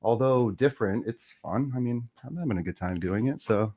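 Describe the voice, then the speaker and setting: A man's voice: medium pitch, moderate pace, dark tone. A man chatting casually as an amateur performer and sound effects artist. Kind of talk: casual chat.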